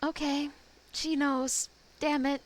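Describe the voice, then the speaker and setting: A woman's voice: high-pitched, flat delivery, slow pace. A woman streamer reacting casually to a game, chatting, laughing and swearing. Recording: loud background ambience.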